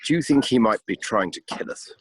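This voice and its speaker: A man playing a genial, easily flustered gentleman heir in a comic amateur radio play, husky and theatrical. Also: mid-range voice, lively intonation, brisk, lightly acted. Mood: puzzled.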